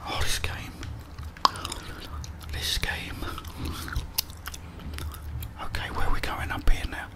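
A middle-aged British man whispering ASMR game commentary in short murmured reactions, close to the microphone. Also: slow. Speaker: gaming streamer.

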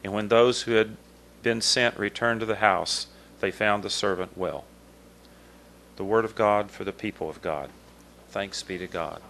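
Deep-voiced man reading scripture slowly. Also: steady tone, fairly bright tone, slight background noise. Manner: slow, measured reading. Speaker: liturgist. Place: small church sanctuary, at a pulpit.

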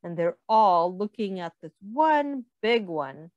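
A young adult woman reads a picture book aloud to young children as a teacher, explaining things and putting questions to the listener in a deliberate way. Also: medium pitch, animated, a little mellow, no echo, muffled recording.

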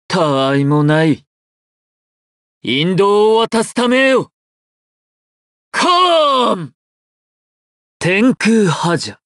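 Ascetic, condescending mystic fighter, anime game. Drawn-out, solemn, dramatically varied pitch. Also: male voice, a bit bright, dry recording, studio quality, full character voice. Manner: slow solemn incantations, lofty disdain. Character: serene, aloof ascetic holy warrior, condescending. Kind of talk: anime battle game voice lines.

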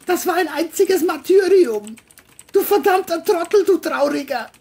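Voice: extremely high pitch, expressive, moderate pace, darkish tone, pitched above the performer's own voice. A narrator voicing an exclamatory, exasperated, scheming man in an audio crime story. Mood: furious. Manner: exclamatory, berating.

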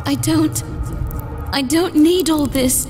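A heartbroken young girl acted by a woman for a dramatic motion comic. Her voice is high and very slow, full of halting, wistful sorrow, with music playing behind it. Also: darkish tone. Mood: distraught.

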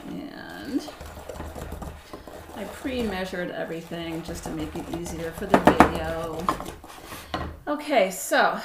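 Middle-aged woman, unhurried cooking lesson, roomy home kitchen, bowls and spoons clattering. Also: on the low side, very noisy. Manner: relaxed, chatty step-by-step instructions while mixing. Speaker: cooking instructor. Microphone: fixed camera across the counter. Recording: echoey kitchen with clattering dishes.

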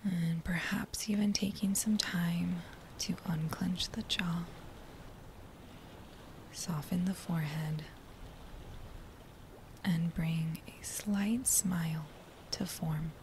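A woman guiding a body scan meditation in a soft, breathy near-whisper, with a gentle, soothing manner and unhurried phrases. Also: fairly low pitch, steady tone. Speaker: meditation guide. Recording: faint background noise.

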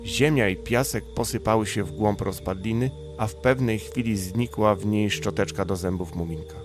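A man's voice: fairly low pitch, normal speed. A man narrating a children's bedtime audiobook in the style of a storyteller. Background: music.

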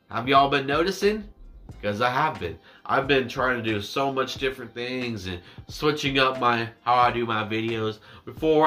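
Young male reaction vlogger, bright and forward voice, chatty and meandering, seated indoors. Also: mid-range voice. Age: 20s. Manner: rambling, self-deprecating, drawn-out words. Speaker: reaction YouTuber. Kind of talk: casual chat to camera. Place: small indoor room with dark backdrop.

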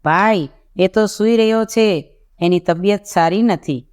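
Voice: medium pitch, takes it slowly, clean tone, close to an everyday voice. A narrator voicing a sorrowful, evasive royal woman in a folk tale, a grieving mother who pleads and recites verse. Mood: evasive, pleading.

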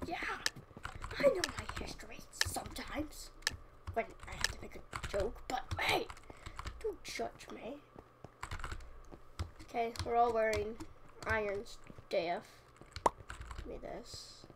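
A woman's voice: a little high, animated, slow, pauses often. A young woman with a sniffly cold, chatting casually and joking at herself while playing a game. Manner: chatty, self-mocking, playful outbursts. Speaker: gaming channel host. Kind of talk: casual gameplay commentary. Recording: audible background noise and keyboard clicks.

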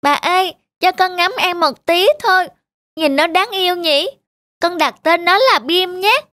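A woman's voice: extremely high pitch, a little slow, pushed and bright, no room sound, studio quality, heavily acted. A woman playing an affectionate, earnest little village boy in a cartoon, with a childlike, pleading manner. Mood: playful, fond. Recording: music behind the voice.

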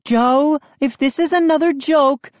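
A woman's voice: high voice, measured pace, dry recording, pitched above the performer's own voice. A woman voicing an impatient, busy mother who speaks sharply.

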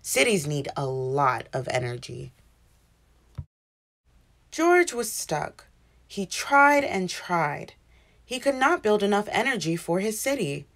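A woman reading a children's picture book aloud as an expressive, animated storyteller. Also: mid-range voice, slow, pauses often. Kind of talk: expressive scripted read-aloud narration.

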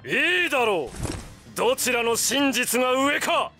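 Anime game villain: megalomaniac vampire god, theatrical and imperious, high register, drawn-out delivery. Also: male voice, big pitch swings, soft background music, exaggerated voice. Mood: shaken, defiant. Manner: theatrical, imperious, drawn-out declamation with shouted outbursts. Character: megalomaniac godlike vampire villain, grandiose and gloating. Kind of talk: anime video game cutscene acting.

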